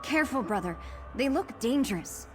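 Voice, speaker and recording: A woman voicing a sheltered, mystical young sister in a video game, with formal, measured, enigmatic phrasing. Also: fairly high pitch, lively intonation, over music, natural voice. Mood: cautious.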